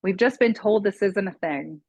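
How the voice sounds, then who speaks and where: A woman's voice: mid-range voice, moderate pace, darkish tone, no echo, highs cut off. A woman speaking as a guest expert in a casual podcast chat, giving emphatic, matter-of-fact advice. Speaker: guest expert on mold and parasites.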